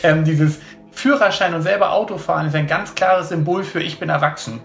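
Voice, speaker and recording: A man's voice: a little high, fairly quick, creaky, fairly bright tone, echoey room, faint music behind. A man who is an author talks casually in a rambling, chatty way. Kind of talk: casual interview talk.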